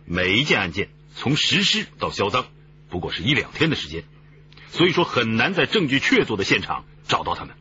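An actor playing a seasoned police chief who explains things in a measured, authoritative way in a radio drama. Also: male voice, medium pitch, big pitch swings, bright, forceful tone. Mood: measured, serious. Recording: slightly dull, noisy recording.

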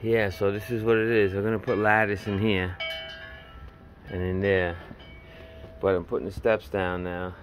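A man's voice: lowish voice, flat delivery, normal speed, pauses often, soft background music. Plainspoken middle-aged man filming his DIY job. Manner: plainspoken, rambling, working-man talk. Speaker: DIY home builder filming his project. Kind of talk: casual walkthrough narration. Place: outdoors at a house, in a dug-out basement stairwell. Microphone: handheld phone camera.

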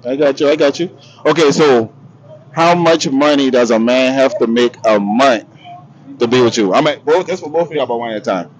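A man's voice: mid-range voice, fairly quick, highs cut off, slight background noise. A man with a Southern US accent asking casual, slangy questions as a street interviewer, outdoors on a city street with a handheld mic. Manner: laid-back slangy questioning.

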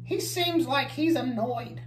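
A woman's voice: high-pitched, slow. A woman voicing a friendly, curious, silly young monster puppet in a children's story time, chatty and polite. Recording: roomy echo, faint background noise.

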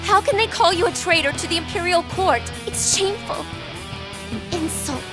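An actress playing a gentle, earnest young noblewoman with a hidden scheme in a dramatic video game. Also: extremely high pitch, expressive, moderate pace, loud music behind the voice. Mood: troubled, demure. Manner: soft, earnest, pleading.

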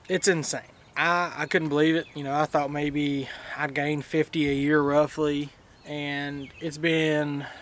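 A man, chatting casually to camera for his fishing vlog outdoors, with relaxed fillers. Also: a little high. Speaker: fishing vlogger. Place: outdoors by a pond and in a yard. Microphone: action camera, head-mounted or handheld. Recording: faint outdoor noise.

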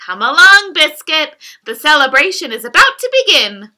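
A woman reading the lines of a cheerful, caring little girl in a gentle, sing-song storybook way. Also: high voice, sing-song, moderate pace, full character voice.

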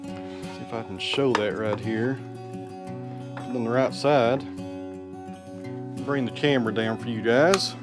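Plain-spoken workshop vlogger with guitar behind. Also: male voice, mid-range voice, slow pace, long pauses. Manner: plain-spoken, addresses viewers as friends and guys. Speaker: sawmill vlogger. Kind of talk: casual how-to explanation to camera. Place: indoor wood workshop. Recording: guitar music playing underneath.

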